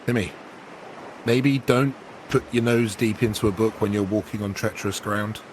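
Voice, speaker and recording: A man's voice: on the low side, steady tone, moderate pace, slight background noise, lightly acted, rough recording. A man playing a mildly irritated vault dweller in a tabletop game, chiding in a dry, admonishing way.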